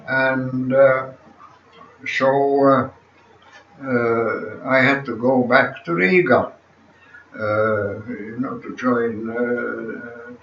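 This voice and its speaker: A hundred-year-old man with a Latvian accent speaks very slowly and haltingly, searching for words between many pauses. He sits in an armchair in a small room at home, caught by a camera across the room. The sound is roomy, dull and distant. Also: medium pitch, a little hiss or hum. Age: 100s. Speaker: interviewee. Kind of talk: slow recollection of his life story.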